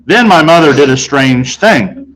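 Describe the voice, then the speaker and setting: A man reading a children's novel aloud in an expressive, conversational way, telling it as a boy in the first person. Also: fairly high pitch, unhurried, rough recording. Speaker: storybook reader.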